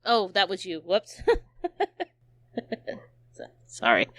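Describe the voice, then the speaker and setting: A woman's voice: fairly low pitch, sing-song, takes it slowly, dry recording. A woman making casual, joking commentary in short teasing interjections, on a dull lo-fi recording.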